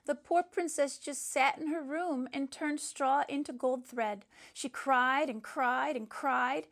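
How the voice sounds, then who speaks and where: A woman playing a sentimental, high-voiced schoolgirl storyteller. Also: fairly even intonation, slow pace, a bit bright, full character voice. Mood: sweet, dramatic. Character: sentimental schoolgirl telling a princess fairy tale.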